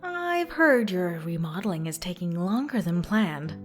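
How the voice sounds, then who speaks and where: Audio drama: actress as a no-nonsense ghost-hunting woman, expressive. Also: lowish voice, measured pace, faint music behind. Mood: probing. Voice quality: blunt, dry, confident. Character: cool-headed ghost-hunting woman, adult. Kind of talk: fan audio drama acting.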